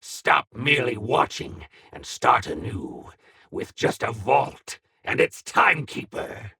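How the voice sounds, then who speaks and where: An actor playing a cold, ominous, accusing man in a video game, with a rough husky voice and portentous delivery. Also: medium pitch, big pitch swings, slow, sharp tone, full character voice. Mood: cold, commanding. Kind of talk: dramatic video game character lines.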